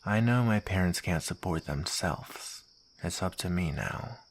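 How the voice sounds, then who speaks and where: A man playing a hardened, resigned mercenary with a bitter, gravelly delivery in an ASMR roleplay drama. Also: low voice, animated, a little hiss or hum. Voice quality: gravelly, husky. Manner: bitter, grim, deliberate. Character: hardened, resigned male mercenary, former comrade.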